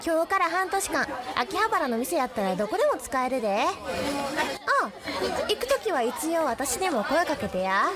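A woman playing a brash, hot-tempered rich high school girl with a Kansai accent, blunt and pushy, in a radio drama. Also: big pitch swings, moderate pace. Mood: breezy, cheeky. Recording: loud crowd chatter behind the voice.

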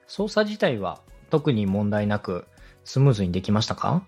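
A man's voice: on the low side, sing-song, moderate pace, darkish tone. A man hosting a podcast, asking questions and giving advice in a courteous, encouraging way. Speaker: podcast host, radio director. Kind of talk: interviewing and advising on a podcast. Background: background music.

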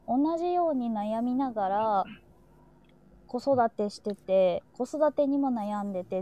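Female stream host, higher register, warm dark tone, casual reflective chat. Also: lo-fi sound. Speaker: host of a live streamed talk show.

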